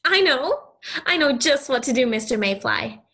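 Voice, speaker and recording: A woman's voice: high voice, animated, moderate pace, pushed and bright, full character voice. A woman playing a kind, earnest young girl in an audio play, with a sincere, hesitant, childlike manner. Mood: pleading.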